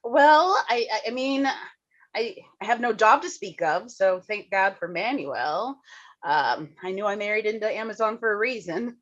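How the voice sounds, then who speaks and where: Actress as a bright, flustered, chatty theater woman. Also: mid-range voice, animated, normal speed. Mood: rueful. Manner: stammering, repeats herself, effusive. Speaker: actor in a staged play reading. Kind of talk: naturalistic dramatic dialogue, read online. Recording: dull, lo-fi online call audio.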